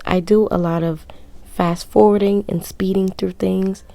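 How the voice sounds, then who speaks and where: Low, raspy young woman narrating sewing. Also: mellow. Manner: rambling, self-mocking. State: hoarse from projecting through a mask. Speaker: sewing tutorial creator. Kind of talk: casual voiceover tutorial.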